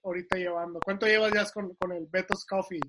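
High-voiced male host on a hissy call. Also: fast talker. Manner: friendly, relaxed, slangy. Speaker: podcast host. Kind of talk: casual video-call interview. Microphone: video call. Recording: loud background hiss on a video call.

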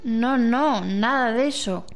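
Woman playing a slow, wise old grandmother. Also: on the high side, faint music behind. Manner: slow, measured, instructing. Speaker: amateur radio drama actor. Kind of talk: amateur radio play acting.

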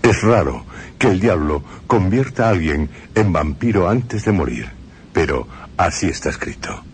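A man hosting and narrating a radio drama program, speaking in a measured, ominous way with dramatic pauses. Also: sits low, animated, a little hiss or hum. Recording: radio broadcast with clear music bed.

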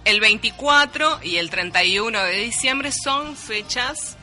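A woman's voice: fairly high pitch, animated, measured pace, sharp tone, faint music behind. A woman with a Rioplatense accent, co-hosting a radio show in a teasing, playful way. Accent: Rioplatense Spanish. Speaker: radio co-host. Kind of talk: casual radio chat. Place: radio studio.

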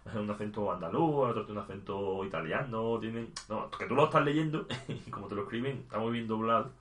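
A man's voice: lowish voice, fairly quick, fairly bright tone, roomy sound. A man chatting casually about video games as a podcast co-host, with laughing asides and stammered restarts. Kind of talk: casual gaming chat.

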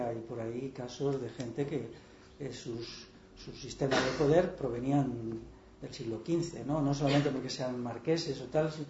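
A man giving a talk, answering from the front in a calm, explanatory way, often ending with a questioning tag. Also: medium pitch, a little hiss or hum. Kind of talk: measured lecture reply. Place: lecture hall. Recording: roomy, dull hall recording.

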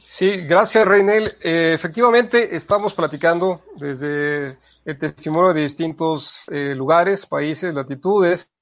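This is a fairly high-voiced man, a priest co-hosting a radio show. He talks in a thoughtful way, often pausing with "eh". The sound is dry and a little dull, like plain studio audio. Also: no room sound. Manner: thoughtful, with frequent hesitations. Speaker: priest co-host.

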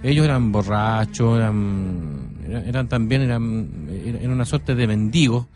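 A man with a Chilean accent telling stories unscripted on radio in a halting, deliberate way, repeating phrases. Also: fairly low pitch, expressive, music in the background. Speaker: radio co-host and storyteller.

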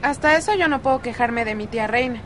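A woman's voice: fairly high pitch, fast talker, fairly bright tone, slight background noise, close to an everyday voice. An actress playing a curious, easygoing teenage girl with a Mexican accent in a radio soap opera. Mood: friendly.

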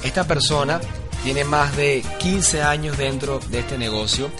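Earnest higher-voiced man narrating a training. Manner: earnest, instructive, building to an eager introduction. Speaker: training host. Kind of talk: scripted motivational narration.

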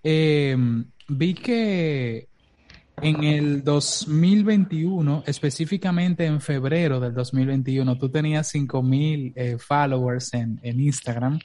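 A man hosting a podcast interview in a conversational way, halting and repeating his phrases. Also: on the high side, warm tone, a little hiss or hum. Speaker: podcast host.

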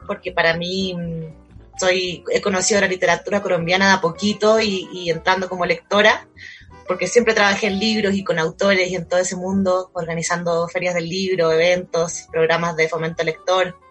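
A woman's voice: on the low side, a bit bright. A woman who grew up in Chile, talking as a guest in a casual conversation, thoughtful and full of hesitations and fillers.

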